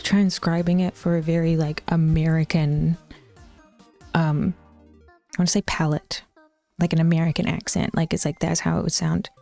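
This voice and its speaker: A woman's voice: lowish voice, mellow. A young woman with an Auckland New Zealand accent chatting casually with her stream chat, rambling, swearing and sometimes dropping into whispers.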